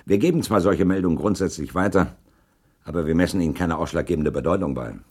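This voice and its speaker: A man's voice: low voice, fast talker, darkish tone, husky. An actor playing a stiff, middle-aged male ship captain, giving clipped, formal and defensive answers at a hearing in a radio drama. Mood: guarded. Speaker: witness. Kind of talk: 1980s radio drama acting.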